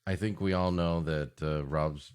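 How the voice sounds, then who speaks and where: A man's voice: very deep voice, fairly even intonation, clean tone. A man co-hosting a comedy podcast, riffing in wry, mock-exasperated banter. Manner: mock-exasperated, wry reacting with drawn-out setups. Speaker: podcast co-host. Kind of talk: improvised comic banter.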